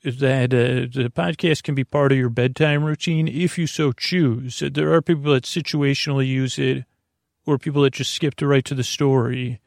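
Gravelly man rambling easygoing. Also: mid-range voice, steady tone, moderate pace, dry recording, clean studio sound. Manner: meandering, stumbles over words; easygoing, companionable. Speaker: sleep podcast host. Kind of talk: casual rambling monologue.

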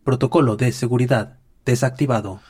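A man's voice: mid-range voice, fairly quick, darkish tone, slightly rough, soft background music, natural voice. A man voicing a smart-home system that answers in clipped, mechanical announcements.

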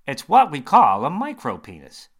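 A man voicing an eager, grinning male surgeon who talks brightly and bluntly. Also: on the high side, huge pitch swings, husky, voice pushed up, heavily acted. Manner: bright, emphatic, quick.

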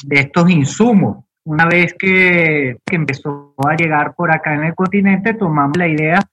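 A man's voice: fairly high pitch, normal speed, sharp tone. A man, a garment maker explaining his work step by step in an interview. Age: 40s.

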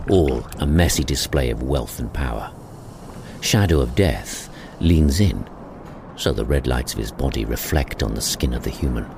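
A male narrator with a very low voice reads scripted audio drama scenes. His pitch moves in a lively way, and there is faint ambient noise behind him. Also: vocal fry.

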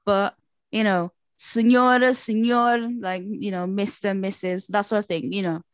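A woman from Portugal, a native Portuguese speaker, chatting casually in English and telling stories in a chatty, animated way. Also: medium pitch, measured pace, clear, resonant tone, no room sound. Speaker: performer who does shows. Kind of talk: casual chat.

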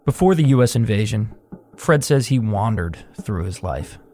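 A man hosting a podcast, reading scripted narration in a measured documentary way. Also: fairly low pitch, sing-song, a little mellow. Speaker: podcast host.

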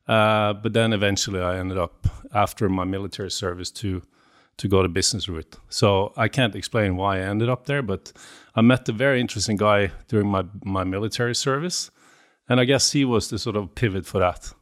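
A Norwegian man in his fifties is the guest in a casual interview. He speaks in a fairly low voice with a Norwegian accent. He stops and restarts often and fills pauses with "uh" and "um.". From Norway. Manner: hesitant, with many fillers and restarts.

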